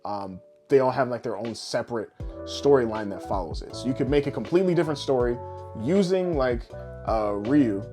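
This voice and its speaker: A man with a mid-range voice talks as a podcast co-host in a loose, casual style. He rambles through explanations with animation and drops the odd swear word. Music plays softly behind him. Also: mid-range voice, expressive, brisk. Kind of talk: casual podcast chat about games and films.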